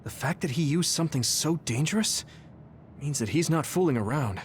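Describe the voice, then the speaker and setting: Earnest young soldier played by a man, lively. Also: on the high side, moderate pace, slight background noise, close to an everyday voice. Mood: grave. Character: earnest, determined young male soldier hero. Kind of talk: video game cutscene voice acting. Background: music.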